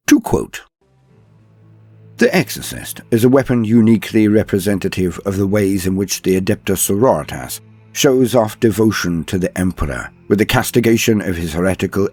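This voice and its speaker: A man delivering dramatic scripted narration as a host, solemn, theatrical and grandiose, with a husky voice. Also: on the low side, darkish tone. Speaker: host and narrator of a lore show.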